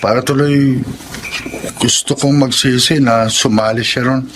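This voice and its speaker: A man's voice: mid-range voice, steady tone, measured pace, a bit bright, slight background noise, lightly acted, low-quality recording. A man playing a lovesick, mopey young man in a Filipino radio drama. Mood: wistful, regretful.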